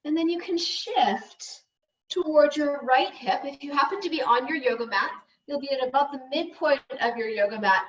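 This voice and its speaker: A woman yoga instructor leading slow guided breathing and meditation in soothing, unhurried short phrases with long pauses. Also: sits high. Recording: dull, echoey room, distant mic.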